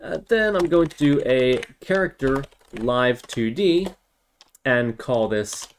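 A man's voice: mid-range voice, animated, slow pace, slight background noise. A man giving an informal tutorial, thinking aloud step by step as he works on screen. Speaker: tutorial instructor.

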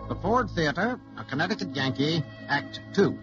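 A man's voice: fairly high pitch, lively intonation, moderate pace. A man announcing a drama in formal, theatrical 1940s radio style. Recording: dull old radio recording with music.

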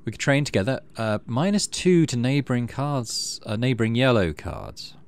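A man narrating a game playthrough casually, reading text aloud and thinking out loud in an easygoing way. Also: medium pitch, sing-song, moderate pace, smooth. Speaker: gaming video host.

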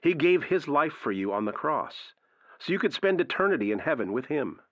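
A fervent male radio preacher. His declamatory sermon moves widely in pitch, and the sound is dry and somewhat dull. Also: sing-song, fairly quick, no room sound. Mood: fervent, exhorting. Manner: emphatic, declamatory.

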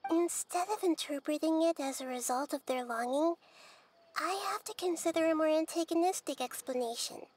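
A woman playing a gentle, wise childlike girl deity in a video game, speaking in a measured, articulate, thoughtful way. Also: high-pitched, slight background noise, natural voice.

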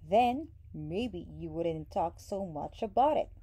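A woman's voice: on the low side, animated, takes it slowly, dark tone, breathy edge, voice pushed down, exaggerated voice. A woman voicing a henpecked, plain-spoken male woodsman in a storybook. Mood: fed up. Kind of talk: storybook character voice.

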